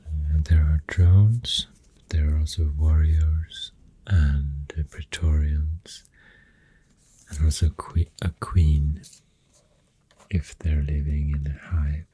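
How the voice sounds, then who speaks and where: An extremely low, mellow voice with a Swedish accent speaks slowly in soft ASMR style. It slips mostly into a breathy whisper, halting and soothing, close to the microphone. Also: fairly even intonation, low-quality recording. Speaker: ASMR artist drawing while talking. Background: pencil writing and drawing sounds.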